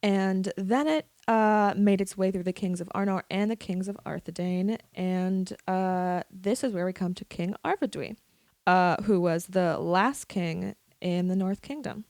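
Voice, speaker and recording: Woman explaining, mid-pitched, very dry sound. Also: measured pace, darkish tone, no room sound. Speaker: podcast speaker. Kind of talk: explanatory podcast narration.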